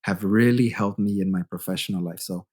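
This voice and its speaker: A man's voice: lowish voice, a little fast, a little mellow. A Canadian man hosting a podcast and chatting enthusiastically with his guest, often tagging his remarks with "right?". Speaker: podcast host, English teacher. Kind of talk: casual interview chat.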